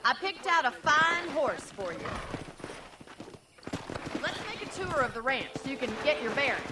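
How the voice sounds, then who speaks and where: An actress voicing a spirited young frontier ranch woman in a video game, teasing, wry and brisk. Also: sits high, very animated, heavy background noise.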